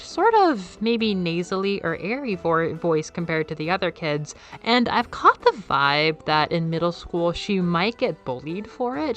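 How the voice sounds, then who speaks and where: A young woman with a soft, airy, slightly nasal voice sitting in a fairly low register, chatting casually as if to her viewers. She rambles and repeats words, then jumps way up in pitch when she gets excited. Faint music plays underneath. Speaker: YouTuber. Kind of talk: casual video essay.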